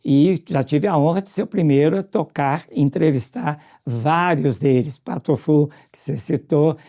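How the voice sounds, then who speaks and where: A man's voice: a little high, moderate pace, dark tone. An older Brazilian man, a radio music presenter, reminiscing casually in an interview.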